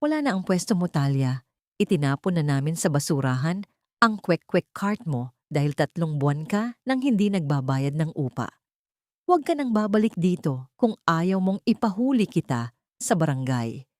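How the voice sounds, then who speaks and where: A stern, unsympathetic stall owner from an audio drama, played by a woman. The delivery is low, cold and dismissive, with a very dry sound. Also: big pitch swings, normal speed, a little mellow, dry recording.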